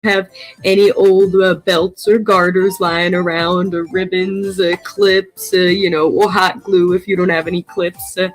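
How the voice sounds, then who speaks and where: A woman playing an eccentric, cheerful big-cat keeper in a comedy crafting video, halting and folksy as she addresses viewers. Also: lowish voice, little pitch movement, soft background music, rough recording. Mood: sweetly folksy.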